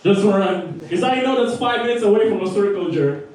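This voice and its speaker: A young Filipino-accented man performs loose, profane stand-up in a small record store, often laughing along with his own punchlines. His voice sits high for a man and lands from a distance. A heavy roomy echo hangs on every word. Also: lively intonation, brisk, darkish tone. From Philippines. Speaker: stand-up comedian. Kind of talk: stand-up set.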